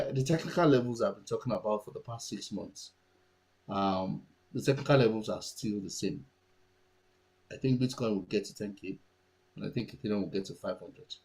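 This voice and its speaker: A man's voice: fairly low pitch, moderate pace, darkish tone, slightly rough, rough recording. A man whose first language is Yoruba, giving casual market commentary and thinking aloud with many restarts and fillers.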